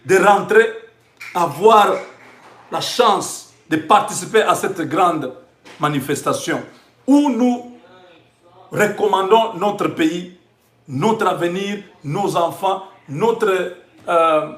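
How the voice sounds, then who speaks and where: A man with a Congolese accent speaks in a high register at a slow, deliberate pace. He delivers an impassioned religious and patriotic address, building it from short, repeated phrases. The sound is echoey, with the room clearly audible around his voice. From Democratic Republic of the Congo.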